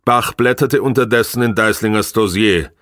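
A man's voice: lowish voice, moderate pace, fairly bright tone, no echo, studio quality. A man reading a novel aloud as scripted audiobook narration.

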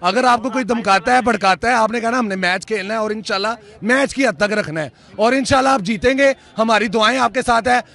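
Young male fan, high, bright, fervent and preachy delivery. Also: a little fast, creaky, hardly any pauses. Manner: fervent, preachy, often invokes God. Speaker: cricket fan interviewee. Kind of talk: impassioned street opinion. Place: outdoors at night in a crowd. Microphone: handheld mic held by interviewer.

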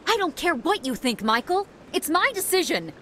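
Expressive, grieving young widow. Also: female voice, high voice, moderate pace, a little hiss or hum. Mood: defiant. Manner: wounded, firm, emotional. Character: grieving, defiant young widowed expectant mother. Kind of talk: video game drama acting. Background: music.

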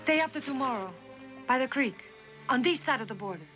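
A woman's voice: high-pitched, animated, fairly quick. An actress playing a proud young woman of the border in an old television western, urgent and pleading. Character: defiant, pleading young Mexican border woman named Gracia. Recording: old TV soundtrack under loud music.

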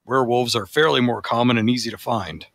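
Guy doing a snooty vampire lecturing like a forum know-it-all, forceful bright voice, super dry sound. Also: mid-range voice, brisk, creaky, dry recording. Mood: pedantic. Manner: pompous, formal. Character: pompous self-styled vampire forum poster, haughty and pedantic. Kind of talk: dramatic reading of forum posts.

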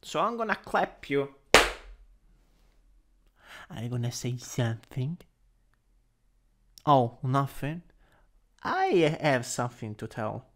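A man's voice: mid-range voice, huge pitch swings, slow pace, pauses often, fairly bright tone. A man with an Italian accent performing an improvised boyfriend roleplay, teasing in an affectionate, playful way. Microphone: USB microphone.

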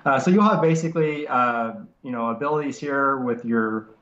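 A man's voice: medium pitch, normal speed, a bit bright, highs cut off. Nasal man on a roomy video call. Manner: rambling, many restarts and fillers. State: nasal from a cold. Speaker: software developer guest. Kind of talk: casual software walkthrough. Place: home study.

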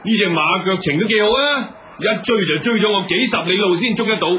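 A man's voice: high-pitched, animated, fairly quick, bright, forceful tone, a little hiss or hum. A man acting a proud, confident young champion horseman in a radio drama, with a Hong Kong Cantonese accent. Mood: boastful. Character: proud young male Mongolian champion horseman and royal guard.

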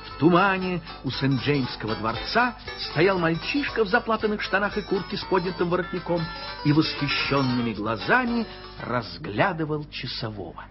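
A man's voice: fairly high pitch, very animated, normal speed. Wry male narrator of a radio play. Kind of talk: scripted storybook narration. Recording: dull old radio play recording with music.

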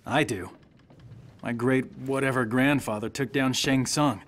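A man's voice: mid-range voice, steady tone, unhurried, a bit bright, slight background noise, close to an everyday voice. A young man playing a cocky warrior in a video game, talking in a dry, sardonic, probing way. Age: young adult. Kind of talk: video game cutscene acting.

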